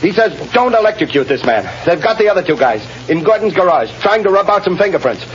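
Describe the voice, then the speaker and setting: An actor playing an urgent prison messenger in an old radio drama, excited and colloquial. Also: male voice, high-pitched, fast talker. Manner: breakneck, excited, colloquial delivery. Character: urgent male prison messenger bringing a reprieve. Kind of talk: 1930s radio drama acting. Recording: dull, hissy vintage radio broadcast.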